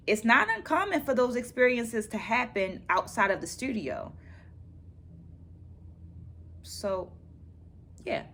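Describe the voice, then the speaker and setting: A middle-aged woman presenting to viewers as a dance educator, in a measured, careful, teacherly way. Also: medium pitch, a bit bright. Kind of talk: book-review vlog, presenting takeaways to viewers. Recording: clear background noise, room ambience.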